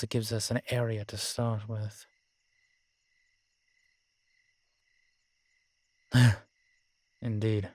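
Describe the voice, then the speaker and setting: A man acts out a sardonic, weary male vampire in an audio roleplay drama. His voice sits on the low side, with measured, formal phrasing and a wry edge. The sound is close and dry, with very little room on it. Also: darkish tone, no echo. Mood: wry, pondering.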